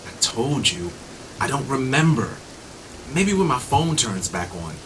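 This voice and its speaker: A man playing an excitable, ingratiating diner customer in an audio drama, flustered and overly polite as he talks. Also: mid-range voice, measured pace, noisy background. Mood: exasperated.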